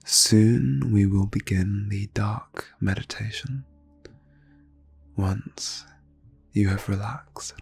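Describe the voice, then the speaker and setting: Hushed, breathy man guiding meditation. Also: lowish voice, steady tone, soft background music. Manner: slow, soothing, deliberate phrasing with long pauses. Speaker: guided meditation host. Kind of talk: ASMR guided meditation, soft-spoken, often near whisper.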